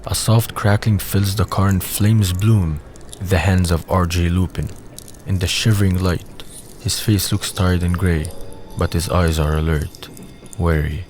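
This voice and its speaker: A man's voice: deep voice, fairly even intonation, a little slow, darkish tone. A man reading scripted scene narration for an audio drama. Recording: faint background noise.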